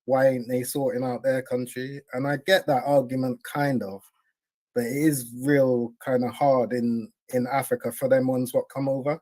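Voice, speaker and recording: A man, a panel guest on a live stream, speaking earnestly and haltingly. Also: medium pitch, darkish tone. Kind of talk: casual livestream chat.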